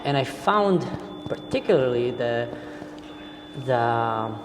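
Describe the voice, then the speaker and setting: Halting Israeli-born host in echoey room. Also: male voice, medium pitch, expressive. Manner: halting, many fillers and restarts. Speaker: podcast host, interviewer. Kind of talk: interviewer questions. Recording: echoey room with clear background noise.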